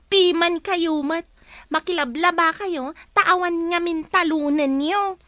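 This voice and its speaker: Very high, sharp, taunting schoolgirl voiced by a woman. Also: expressive, slow, dry recording, full character voice. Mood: mocking. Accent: Ilocano. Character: nosy schoolgirl with mock pity, taunting classmate. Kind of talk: Ilocano radio-style drama acting.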